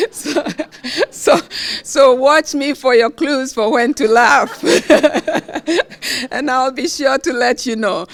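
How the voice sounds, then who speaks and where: A middle-aged woman from Ghana with a Ghanaian accent, a professor giving an award acceptance speech at a university event, with frequent laughter and fillers. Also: a little high. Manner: warm, laughs often, with fillers. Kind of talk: speech at a podium.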